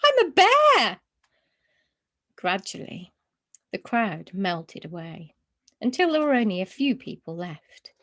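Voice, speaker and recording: A woman's voice: on the low side, animated, measured pace. A woman narrating a children's storybook as a story reader.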